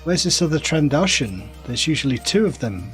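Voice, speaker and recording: A man with a mild Northern English accent, chatting in a relaxed, musing way while playing a game on a live stream and answering chat. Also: a little high, normal speed, faint music behind. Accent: mild Northern English, softened by years in the south. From northern England. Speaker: gaming streamer.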